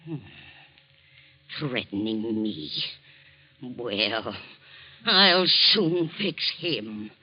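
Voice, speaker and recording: A scolding, domineering rich wife. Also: female voice, very deep voice, lively intonation, extremely slow, pauses often, exaggerated voice. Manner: sharp, scolding and contemptuous. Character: bossy, domineering wealthy middle-aged wife. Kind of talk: 1940s radio acting. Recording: dull old radio broadcast recording.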